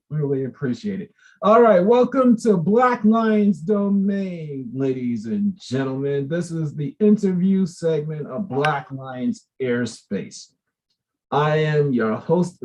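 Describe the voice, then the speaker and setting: A man's voice: fairly high pitch, a little mellow, rough recording. A man hosting an interview show, effusive and chatty as he welcomes his guest. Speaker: host.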